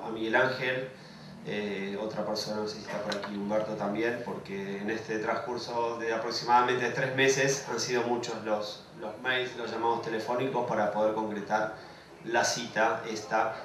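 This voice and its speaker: A middle-aged man with an Argentine accent, a sport management professor opening a conference session in a measured, hesitant way with frequent pauses. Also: medium pitch, fairly bright tone. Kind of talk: conference lecture introduction. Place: large lecture hall. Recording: echoey hall from far off, faint noise.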